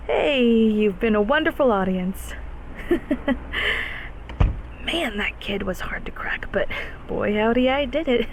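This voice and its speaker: A woman's voice: a little high, lively intonation, takes it slowly, long pauses, a little hiss or hum. A woman voicing a nervous, kindly childhood closet creature in an audio roleplay, stammering and apologetic as she speaks to the listener. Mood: showy, pleased. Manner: flustered, stammering, repeating words.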